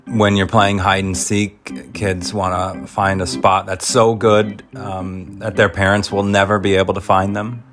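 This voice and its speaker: A man's voice: deep voice, level tone, a little slow, few pauses, over music. A man presenting his own podcast in a casual, explanatory trailer voice-over.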